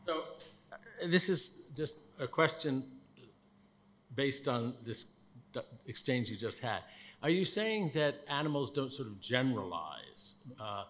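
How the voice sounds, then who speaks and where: A man's voice: mid-range voice, very animated, measured pace, fairly bright tone. A man in the audience asking a question, hesitant and searching for his words. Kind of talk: question from the floor.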